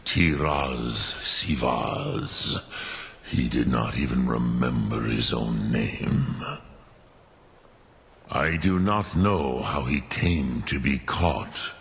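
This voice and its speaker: Old wise mentor dragon, video game, rock bottom deep voice, gravelly, musing and ponderous w pauses. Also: male voice, fairly bright tone, full character voice. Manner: ponderous, musing, pausing, sprinkles in dragon-tongue words. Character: ancient, wise, contemplative male dragon mentor. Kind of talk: fantasy video game acting.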